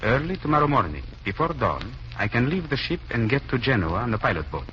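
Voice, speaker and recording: An actor playing a composed Turkish secret agent, polite, deliberate and formal in a vintage radio drama. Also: male voice, mid-range voice, very animated, a bit bright. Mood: confiding, assured. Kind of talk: 1940s radio drama acting. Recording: muffled, noisy old radio recording.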